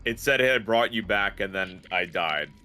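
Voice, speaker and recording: A man's voice: on the low side, animated, normal speed, bright, forceful tone, soft background music. A young man chatting casually while playing a video game with a friend, teasing himself. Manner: self-mocking, laughs often. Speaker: guest gamer. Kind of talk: casual gameplay chat.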